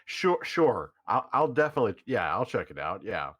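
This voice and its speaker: A man's voice: on the low side, very animated, moderate pace, fairly bright tone, no room sound. A man reading a stammering, nervous boy. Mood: flustered. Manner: stammering, hesitant. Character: nervous awkward teenage boy. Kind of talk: impromptu staged reading.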